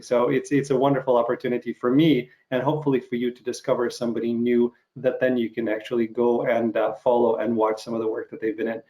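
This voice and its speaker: A middle-aged man from Ukraine hosting a casual interview over a video call, talking in a halting, rambling way with frequent restarts. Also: mid-range voice, darkish tone, low-quality recording. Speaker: interview host.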